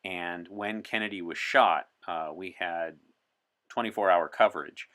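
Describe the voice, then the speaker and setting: Man playing wry, bright-voiced time-traveling 1960s journalist. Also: sits low, a little slow. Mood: earnest. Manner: articulate, wry, dry humor. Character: opinionated, wry science-fiction journalist time traveler from 1964. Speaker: podcast guest. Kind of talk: in-character interview chat.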